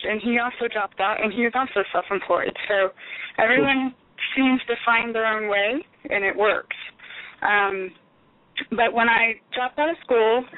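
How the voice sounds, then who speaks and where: A woman's voice: on the high side, dry recording. A Texan woman in her thirties, a business owner, chatting as an interview guest with many ums. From Texas, United States. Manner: chatty storyteller, frequent ums. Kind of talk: casual interview chat. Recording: tinny phone line, dry.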